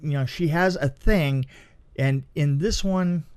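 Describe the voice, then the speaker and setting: A man co-hosting a podcast, chatting casually about films in an exclamatory, halting way. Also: on the high side, a little mellow, smooth, no echo. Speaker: podcast co-host reviewing horror films. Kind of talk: casual film review chat.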